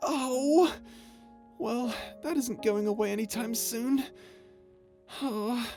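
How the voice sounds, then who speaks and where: A woman plays a gentle, childlike creature in a space audio drama. The voice sits fairly high and is timid and earnest, often stammering and hesitating. Clear music runs underneath. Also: slow pace. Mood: wistful, unsure. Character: timid, childlike, gentle non-human crew member. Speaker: voice actor in audio roleplay. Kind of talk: ASMR audio drama roleplay.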